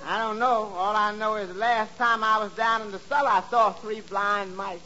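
An actor playing a wry, unimpressed tavern waiter who needles with wisecracks in a 1940s radio comedy. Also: male voice, high voice, steady tone, moderate pace, natural voice. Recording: muffled 1940s radio recording with hiss.

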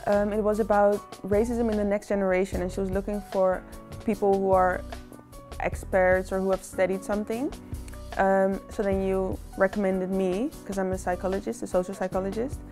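A woman's voice: medium pitch, little pitch movement, moderate pace, darkish tone, faint music behind. A young woman, a social psychologist, speaking earnestly and thoughtfully in a casual interview. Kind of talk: casual reflective interview talk.

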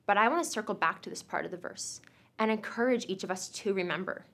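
A woman's voice: mid-range voice, a bit bright. Young woman giving an earnest graduation speech. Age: young adult. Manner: earnest, measured, reflective. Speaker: student commencement speaker.

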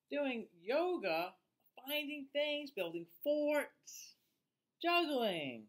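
A man in his thirties reading a picture book aloud to children like a teacher, with an exaggerated, drawn-out delivery. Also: extremely high pitch, a bit bright. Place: small indoor room, seated facing camera.

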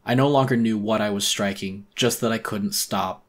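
A man narrating a horror story as a dramatic audiobook reading, intense, theatrical and deliberate. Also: on the low side, fairly even intonation.

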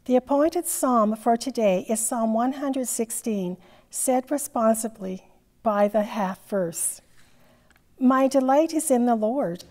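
A woman in her sixties leads a service from the lectern of a large stained-glass church, reciting in a slow, formal cadence. Her voice sits fairly high but sounds soft and mellow, and a long reverb hangs behind her words. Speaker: priest leading the service. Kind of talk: liturgical reading.

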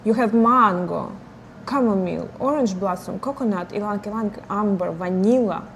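Young woman speaking haltingly about scents. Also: mid-range voice, vocal fry, slight background noise. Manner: halting, searching for words, non-native phrasing. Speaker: perfume reviewer co-host. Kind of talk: casual chat. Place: bright indoor studio with flower wall.

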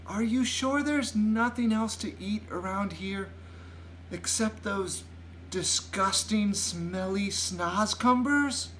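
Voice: medium pitch, slow, fairly bright tone, a little hiss or hum, pitched above the performer's own voice, poor audio. A storyteller voicing a polite, curious little girl who asks questions in a children's storybook. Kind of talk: children's storybook read aloud.

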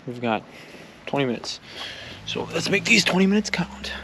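A man's voice: on the high side, sing-song, normal speed. A man narrating an outdoor vlog in a casual, easygoing way, shrugging off setbacks and talking to his viewers. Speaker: outdoor vlogger. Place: outdoors by a lake and trails. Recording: outdoor recording with clear background noise.

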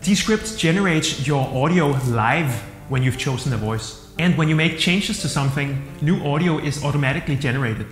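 Danish-accented man in a roomy hall. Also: fairly high pitch. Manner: upbeat and enthusiastic, plain-spoken. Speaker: software reviewer. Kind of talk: casual review to camera. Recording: roomy hall-like echo with soft music.

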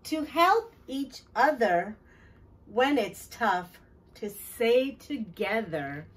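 Slow, high-voiced woman reading to children. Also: big pitch swings, far from the mic, rough recording. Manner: deliberate, clearly phrased, addressing young children. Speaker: children's storytime host and teacher. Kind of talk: children's picture-book read-aloud. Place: small decorated classroom-style storytime corner, indoors.

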